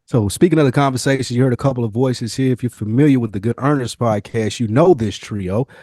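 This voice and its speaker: A man chats as a podcast co-host in loose slang, swearing freely and telling stories with firm opinions. His pitch is average for a man and the sound is dry, with very little room on it. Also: fast, mellow, no room sound. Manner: slangy, profane, opinionated storytelling. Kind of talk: casual podcast chat.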